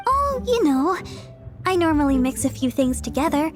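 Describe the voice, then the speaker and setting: A woman's voice: high-pitched, sing-song, moderate pace. A woman voicing a polite, slightly flustered young woman knight in a video game, over game music. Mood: bashful.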